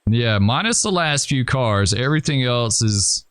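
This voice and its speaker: A man hosting a gaming channel, thinking aloud and rambling with hesitations as he plans moves in a train simulator game, his voice going hoarse. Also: medium pitch, animated, unhurried, fairly bright tone, no room sound. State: losing his voice. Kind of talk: casual gameplay commentary.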